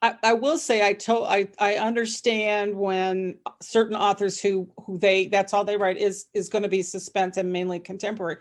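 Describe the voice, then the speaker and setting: A woman's voice: medium pitch, flat delivery, normal speed, few pauses. A woman interview guest, an author and psychologist, talking casually and circling back mid-sentence.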